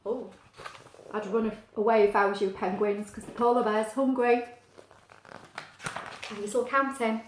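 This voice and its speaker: A woman's voice: a little high, little pitch movement, normal speed, pauses often, a little hiss or hum. A woman reading a picture book aloud to young children, explaining things simply and adding chatty asides. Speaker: story reader for young children. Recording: echoey, roomy sound.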